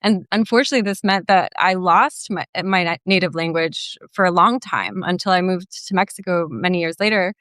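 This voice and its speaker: A woman's voice: on the low side, expressive, a little fast, hardly any pauses, a bit bright, dry recording, clean studio sound. Earnest woman journalist, laughing lightly. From California, United States. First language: Spanish. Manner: earnest, with small laughs and restarts. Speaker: journalist guest. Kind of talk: conversational interview.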